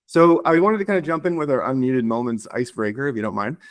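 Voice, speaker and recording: A man's voice: mid-range voice, lively intonation, quick pace. A man hosting a podcast, asking questions in an upbeat, loose way with fillers. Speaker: podcast host. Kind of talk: casual interview hosting.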